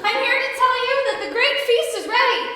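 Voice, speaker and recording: A woman acts a cheeky servant in a comic skit. The delivery is exaggerated and bright, heard distant in a very echoey hall. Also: extremely high pitch, very animated, drawn-out words, exaggerated voice. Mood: announcing. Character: cheeky young servant delivering feast invitations.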